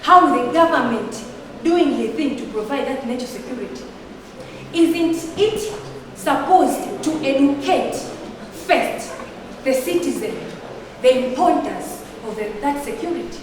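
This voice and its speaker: A woman's voice: sits high, lively intonation, a little slow, a crowd in the background. A teenage girl from Tanzania, a Swahili speaker, giving an earnest, argumentative debate speech full of rhetorical questions. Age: teens. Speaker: student debater. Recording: echoey hall, picked up from far off.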